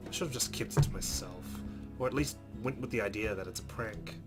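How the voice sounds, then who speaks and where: Man, let's-play host, expressive story reading, chatty asides, guitar game music behind. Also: fairly low pitch, a little fast. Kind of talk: reading visual novel narration aloud with casual asides.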